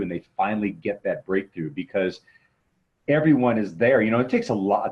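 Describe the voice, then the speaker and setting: Coach guy being interviewed, lowish voice, explains stuff with rhetorical questions, kinda dull audio. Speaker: guest, motivational coach and martial arts trainer. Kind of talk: conversational interview answers.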